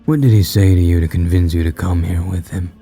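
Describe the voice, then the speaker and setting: Man voicing a deep, menacing shadow demon. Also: fairly even intonation, warm tone, faint music behind. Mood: cold, mocking. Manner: slow, bored, deliberate emphasis. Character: menacing shadow demon in a madman's shape, coldly mocking. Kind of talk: audio storybook character voice.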